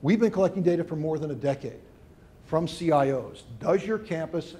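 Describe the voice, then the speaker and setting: A middle-aged man, an education researcher, answering interview questions in an expansive, discursive way with lively emphasis. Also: on the high side, slight background noise.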